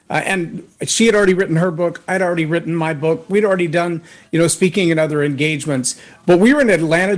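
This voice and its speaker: A man guesting on a talk show, telling a personal story in an earnest, anecdotal way, with a voice that can boom when he raises it. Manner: earnest, anecdotal, acts out others' words. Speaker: guest speaker.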